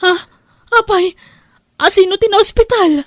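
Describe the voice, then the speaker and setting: A woman's voice: very high voice, moderate pace, a bit bright, no echo. A woman playing a long-suffering, distressed wife in an Ilocano audio drama, emotional and pleading. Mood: weary, anxious.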